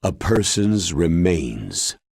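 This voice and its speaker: A man voicing a grave, authoritative military commander in a video game, explaining in a measured, solemn way with a husky voice. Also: deep voice, big pitch swings, darkish tone, no room sound, studio quality, exaggerated voice. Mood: solemn, explanatory.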